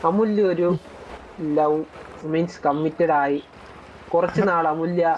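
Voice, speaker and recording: Higher-voiced man over noisy game chat. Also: unhurried, highs cut off. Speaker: online roleplay gamer. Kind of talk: casual in-game roleplay conversation.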